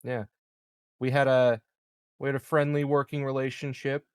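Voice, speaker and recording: A man's voice: medium pitch, normal speed, clean tone, no echo. Stammering, swearing male player, dry room. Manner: stammering, swears casually, deadpan jokes. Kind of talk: casual in-character chat over voice chat.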